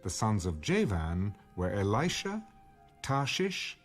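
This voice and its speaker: A man reading scripture as a scripted audio Bible narration, in a measured, expressive storytelling delivery. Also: on the low side, soft background music.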